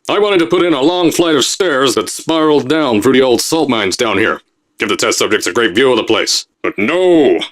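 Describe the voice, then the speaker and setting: Actor as a brash boastful company boss, barking pre-recorded announcements for a game. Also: male voice, mid-range voice, natural voice. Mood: ranting. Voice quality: loud, boastful salesman bluster.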